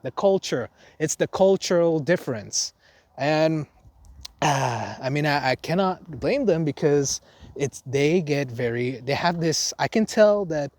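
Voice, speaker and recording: A young man with an Indonesian accent, speaking a little higher than most men. He talks off the cuff to his camera, circling back, restarting sentences and filling gaps with "uh" and "like". The sound is dry and close, with no room around it. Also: darkish tone, no room sound. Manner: rambling, many restarts and fillers. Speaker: vlogger, foreign teacher. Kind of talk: unscripted casual vlog talk.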